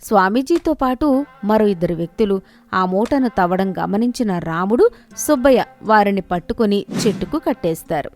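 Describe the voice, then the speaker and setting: A woman's voice: medium pitch, lively intonation, normal speed, darkish tone. A woman reading a scripted moral story as its storyteller. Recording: music behind the voice.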